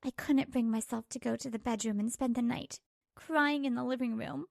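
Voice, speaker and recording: A woman's voice: on the high side, fairly even intonation, normal speed, gravelly, no echo, clean studio sound. A woman playing a hurt, betrayed young wife who narrates her troubles emotively in a manga dub. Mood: sad. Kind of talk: dramatised first-person manga dub narration.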